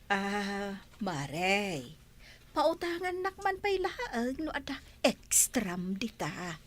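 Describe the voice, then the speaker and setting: A woman's voice: medium pitch, big pitch swings, slow pace. An actress with an Ilocano Filipino accent playing a coaxing, insistent middle-aged village wife and mother in a radio drama. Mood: pleading, insistent. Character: wheedling, money-asking village wife and mother.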